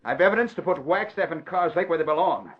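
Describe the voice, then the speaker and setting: A man's voice: on the high side, animated, quick pace, close to an everyday voice. A man playing a hard, triumphant gunman guarding a captive in 1940s radio acting style. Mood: triumphant, stern. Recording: dull, noisy old radio broadcast.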